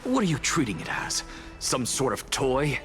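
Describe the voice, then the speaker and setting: A man's voice: mid-range voice, wildly swooping pitch, moderate pace, music in the background. A man playing a haughty, sardonic scholar and teacher in a video game, with an aloof, mocking and theatrical manner. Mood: indignant, proud.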